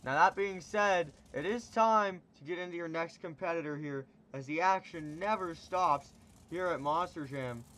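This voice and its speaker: A man announcing a monster truck show in the style of an arena announcer, stretching out his hype calls, and he is losing his voice. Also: a bit bright. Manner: booming, drawn-out hype calls. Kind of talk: hyped arena announcer commentary.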